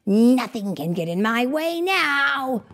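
A woman's voice: a little high, very animated, slow pace, a bit bright, voice pushed up, full character voice. A woman storyteller voicing a maniacal male mad-scientist villain in a theatrical, sneering way. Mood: gloating.

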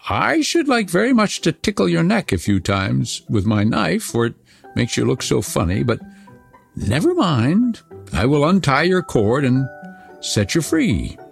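A fierce, bossy little robber girl, put on by a man reading a storybook aloud, pitched way up and swinging wildly up and down. She sounds gravelly underneath, with a threatening, domineering way of speaking. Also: huge pitch swings, unhurried, faint music behind, full character voice. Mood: commanding.

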